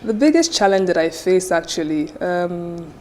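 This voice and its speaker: Nigerian lady founder, lowish voice, animated telling, bit of background hiss. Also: moderate pace. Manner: animated storytelling, acts out quoted voices. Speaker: business founder, guest. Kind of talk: interview answers.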